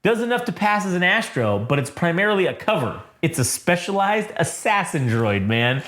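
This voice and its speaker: A man's voice: high voice, very animated, normal speed, fairly bright tone, echoey room, a little hiss or hum. A man voicing a brash, wordy woman tech rogue, glib and sardonic with a nervy edge. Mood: matter-of-fact pitching.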